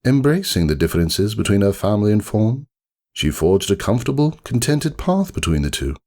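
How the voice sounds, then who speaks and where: Man voicing a deep, pompous, melancholy aristocratic unicorn. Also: very animated, a little fast, darkish tone. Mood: storytelling, melancholy. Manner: pompous, wordy, theatrical, ruminative. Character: haughty, melancholy aristocratic male unicorn narrating his story. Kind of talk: dramatic storybook reading, first-person monologue.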